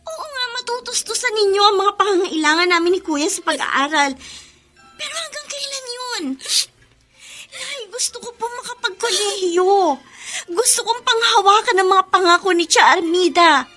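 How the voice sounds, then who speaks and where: A woman's voice: extremely high pitch, lively intonation, normal speed, bright, forceful tone, soft background music, heavily acted. A woman playing a practical, determined young daughter in a melodrama, speaking earnestly and insistently. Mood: earnest determination. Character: determined, practical teenage daughter wanting college. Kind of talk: Filipino melodrama acting.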